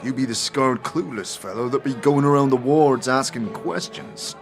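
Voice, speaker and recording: An actor playing a huge, jovial, blustery merchant with folksy street slang in a fantasy audio drama. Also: male voice, mid-range voice, moderate pace, soft background music, natural voice. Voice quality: hearty, chuckling. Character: huge bald burn-scarred blustery jovial male merchant.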